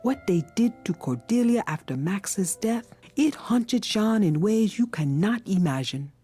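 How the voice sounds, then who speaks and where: An actress playing a wise, gentle older woman mentor in a video game, with measured, soft, solemn delivery. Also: medium pitch, lively intonation, faint music behind, close to an everyday voice. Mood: grave, explanatory. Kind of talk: dramatic video game acting.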